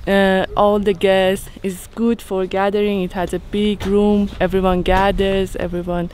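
Slow, enthusiastic woman vlogging on a trail. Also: medium pitch, little pitch movement, a little mellow, slight background noise. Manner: enthusiastic, exclaims at views. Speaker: travel vlogger. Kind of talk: casual vlog narration while hiking. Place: outdoors on a mountain trail.

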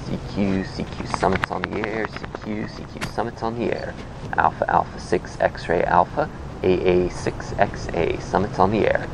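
A man's voice: deep voice, fairly even intonation, moderate pace, creaky, noisy background. An American man working as an amateur radio operator, making repetitive, procedural calls with spelled-out call signs. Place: outdoors on a hilltop.

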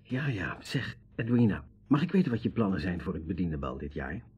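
An actor playing a polite, thoughtful young tutor in a Dutch radio drama. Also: male voice, deep voice, very animated, a little fast, a little mellow, slightly rough, muffled recording, exaggerated voice. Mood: curious, polite.

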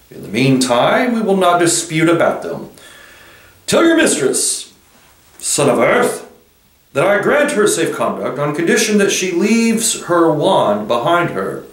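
Storybook lion ruler voiced by a man: solemn, measured, commanding, calm authority, roomy sound. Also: a little high, far from the mic, voice pushed up. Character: grave, majestic male lion king, calm authority.

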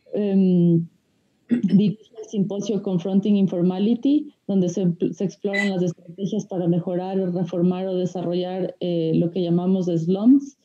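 Dark-toned woman moderating a panel. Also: medium pitch. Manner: formal, with frequent filler pauses. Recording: dull, slightly lo-fi audio.

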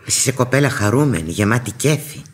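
A very low-voiced older aunt, motherly and practical, fusses and advises briskly. The delivery is theatrical radio drama. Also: lively intonation, a little hiss or hum. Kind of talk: radio theatre acting in Greek.

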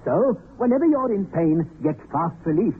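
A man's voice: high-pitched, sing-song, moderate pace, breathy edge, exaggerated voice. An actor playing a cheerful, emphatic man selling a remedy in a vintage radio commercial. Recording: tinny, narrow old radio recording.

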